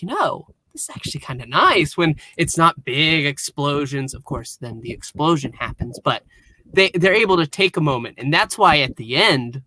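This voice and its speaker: Give a man with a fairly high, sharp, forceful voice, talking as a panellist in a casual fan review. He is chatty and animated as he shares opinions. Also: no room sound, rough recording.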